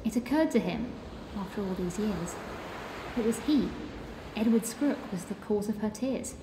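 A woman's voice: mid-range voice, lively intonation, moderate pace. A woman narrator telling a theatrical, rhyming story in an audio drama. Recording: echoey, long reverb, background ambience noise.

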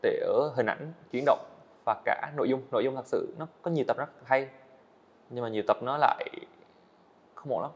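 A man's voice: mid-range voice, moderate pace, lo-fi sound. An eighteen-year-old man, a guest on a podcast, telling his own story earnestly and answering politely. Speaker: guest, animator. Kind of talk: podcast interview. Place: indoor studio set.

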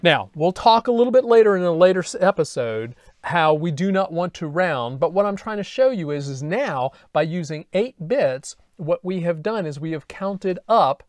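A man in his fifties lectures in a conversational, think-aloud manner. His pitch moves around a great deal as he poses questions and works through the answers. He is captured on a lapel mic in a dry studio with almost no room sound. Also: no echo. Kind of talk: explanatory lecture with worked examples. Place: indoor studio at a glass lightboard.

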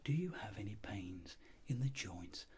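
A middle-aged man speaking in a breathy whisper, slow and reassuring, in an ASMR roleplay as a doctor examining a patient. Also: fairly low pitch, big pitch swings. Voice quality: breathy whisper, soft-spoken. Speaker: ASMR performer acting as a doctor.

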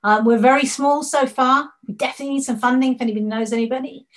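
A woman's voice: high voice, steady tone, fast talker. A woman giving an enthusiastic presentation over slides on a video call, telling stories and letting her voice drop away at the ends of points. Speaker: panel speaker.

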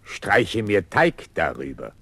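An actor playing a cunning, menacing fairy-tale wolf in a 1970s radio play, commanding and threatening. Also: male voice, sits low, huge pitch swings, slow, creaky, fairly bright tone, dry recording, heavily acted. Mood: demanding.

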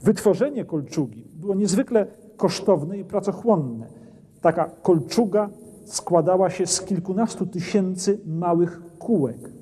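A middle-aged man explains medieval armour as a documentary presenter, his voice mellow and a little husky. He talks in a stone castle armoury on a lapel mic, with a long reverb trailing his words. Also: high-pitched, animated, unhurried. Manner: explanatory, points at armour as he speaks. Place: dim brick castle armoury, indoors.

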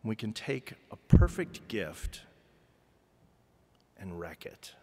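A middle-aged man preaching a chapel sermon in measured, emphatic phrases with deliberate pauses. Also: fairly low pitch, big pitch swings, mellow, a little hiss or hum. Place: large chapel, indoors at a pulpit. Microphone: gooseneck lectern microphone. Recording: reverberant hall with long echo.

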